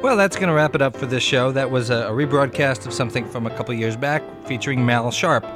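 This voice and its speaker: A man hosting a radio show, chatty and quick to laugh. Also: mid-range voice, over music. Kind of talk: radio interview and sign-off.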